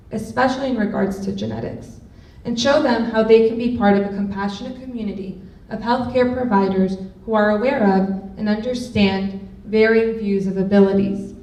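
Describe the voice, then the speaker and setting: A woman's voice: fairly high pitch, a little mellow. Young woman reading an earnest speech. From Los Angeles. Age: young adult. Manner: earnest, reads from a script with small slips. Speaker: student speaker. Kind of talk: prepared speech. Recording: echoey hall, far from the mic, noisy.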